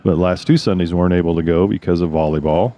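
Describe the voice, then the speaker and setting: A man's voice: low-pitched, fairly even intonation, fast talker, mellow. A man co-hosting a podcast in casual chat, easygoing and chuckling, often trailing off mid-thought. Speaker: podcast co-host.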